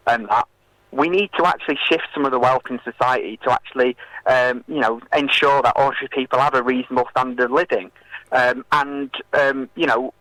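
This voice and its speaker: A British man speaking as a campaigner in a radio interview, explaining and arguing in a halting way with many filled pauses. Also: mid-range voice, fairly bright tone, no room sound, rough recording. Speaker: political campaigner and interview guest.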